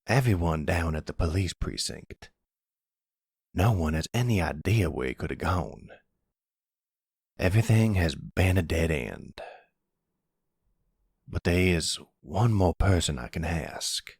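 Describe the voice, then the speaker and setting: A man playing a protective, calm older mafia grandfather in an ASMR roleplay, speaking gently in a folksy way. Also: low-pitched, unhurried, vocal fry, pauses often, husky, no room sound, clean studio sound, full character voice. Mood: concerned, steady. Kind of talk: ASMR boyfriend roleplay acting.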